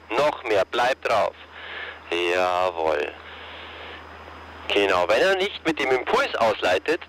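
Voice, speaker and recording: A man instructing a paraglider pilot over a radio, giving calm, encouraging step-by-step commands. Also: mid-range voice, low-quality recording. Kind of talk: radio coaching of a pilot in flight.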